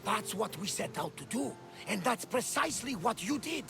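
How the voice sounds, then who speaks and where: An actor playing a jovial older ship captain in a game cutscene, hearty and boisterous, with a gravelly voice. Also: male voice, sits high, big pitch swings, normal speed, heavily acted. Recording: game cutscene with music behind.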